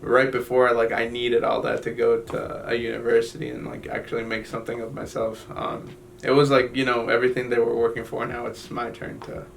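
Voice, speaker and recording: Young man from Guatemala, gravelly flat voice. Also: mid-range voice, far from the mic, slight background noise. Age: young adult. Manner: halting, many fillers and restarts. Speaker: interviewee. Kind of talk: personal story in an interview.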